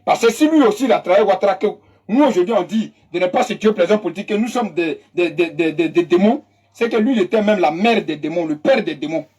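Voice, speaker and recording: An Ivorian-accented commentator delivers a fiery political monologue with a husky, breathy edge. The talk is emphatic and scornful, circling back to the same phrases to drive each point home. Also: medium pitch, animated, fast, a little mellow. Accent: Ivorian French. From Côte d'Ivoire. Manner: emphatic and mocking, repeats phrases for effect.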